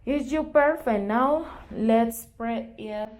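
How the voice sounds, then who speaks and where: Slow, careful Colombian woman, roomy echo. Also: on the high side, a little mellow. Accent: Colombian Spanish. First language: Spanish. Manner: careful, hesitant non-native English with fillers and apologies. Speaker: sewing tutor. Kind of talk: sewing tutorial narration.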